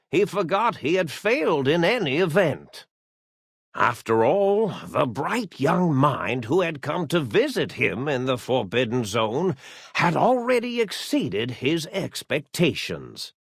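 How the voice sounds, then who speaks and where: An actor voicing an eccentric, absent-minded old mad scientist in a video game, with a theatrical delivery. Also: male voice, a little high, creaky, breathy edge, no echo, studio quality, exaggerated voice. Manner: rambling, stammering, theatrical self-corrections.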